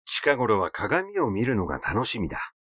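A man reading literary fiction aloud in an expressive, theatrical narrating style. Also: lowish voice, normal speed, slightly rough, dry recording, clean studio sound. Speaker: audiobook reader.

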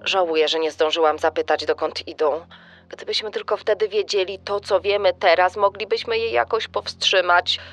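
An actress playing a regretful young teacher giving a witness statement in a true-crime dramatisation. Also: female voice, fairly low pitch, steady tone, normal speed, fairly bright tone, faint music behind.

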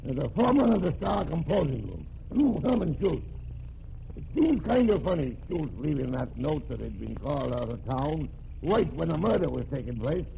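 An actor playing a gruff, blunt police officer in 1940s radio drama style. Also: male voice, on the high side, sing-song, moderate pace, dark tone, exaggerated voice. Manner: blunt, gruff working-class talk. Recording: dull lo-fi old radio recording with faint hiss.

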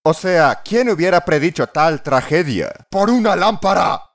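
Animated, high-pitched sarcastic man narrating. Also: unhurried. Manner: sarcastic, exaggerated, exclamatory. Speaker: fandub narrator. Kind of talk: scripted comedic parody narration. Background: music.

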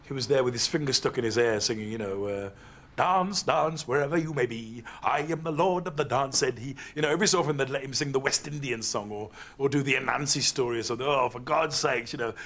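A man speaking in a documentary interview, reflective and lively, recorded in a living room. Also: medium pitch, fast. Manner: reflective, mimics voices when quoting. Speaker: interviewee, comedian.

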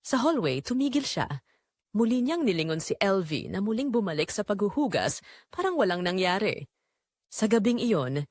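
A woman's voice: mid-range voice, dry recording, studio quality. A woman narrator reading a scripted dramatic story.

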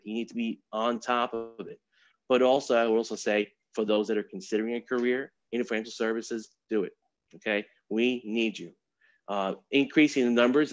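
A man's voice: on the low side, dry recording. A man who works in wealth management, giving an earnest prepared talk on a video call in short emphatic phrases. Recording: dry, slightly dull video call audio.

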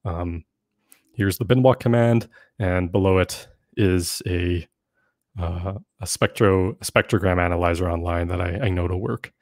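A young man giving a casual online workshop lesson, relaxed and full of filler words, speaking into a desk mic in a small room. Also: low voice, unhurried, dry recording, clean studio sound. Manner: relaxed, filler-heavy explaining with frequent "um". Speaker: workshop presenter. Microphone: desk mic on stand, webcam.

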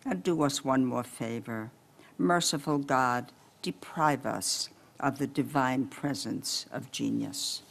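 A woman's voice: extremely low pitch, slow, vocal fry. An elderly woman giving a solemn poetry recitation at a podium microphone in a library meeting room. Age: 70s or older. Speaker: poetry reader.

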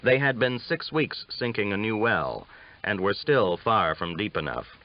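Male audiobook narrator for a western novel, lowish voice, dull lo-fi recording. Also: expressive, a little slow.

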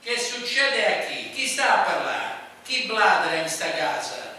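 A man voicing a brutal, furious middle-aged ex-convict who yells insults in a Roman accent. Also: high voice, lively intonation, unhurried, very echoey, distant mic, a little hiss or hum, voice pushed up, exaggerated voice. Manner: furious yelling in Roman dialect.

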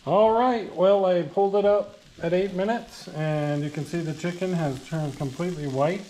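A man's voice: sits high, normal speed, dark tone, slight background noise, rough recording. A man in his thirties filming a casual cooking vlog in his home kitchen, joking and chatty with lots of fillers. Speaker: vlogger cooking meal prep.

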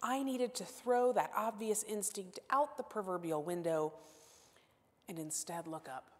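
A woman in her forties preaching from a pulpit in a church sanctuary, her voice carrying a long hall reverb. She is earnest and self-deprecating, swinging from emphatic declarations to soft, hushed asides. Her pitch sits in an ordinary middle range for a woman. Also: measured pace. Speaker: guest preacher. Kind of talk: sermon. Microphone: lapel mic.

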